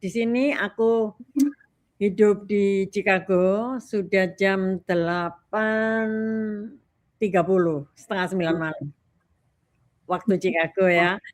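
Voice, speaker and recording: An older woman in her sixties talks as a guest with an Indonesian accent. Her voice sits at a medium pitch, and her delivery is chatty and colloquial, with short tags at the ends of phrases. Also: steady tone, slow pace, long pauses. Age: 60s. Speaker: talk guest. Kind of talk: casual chat.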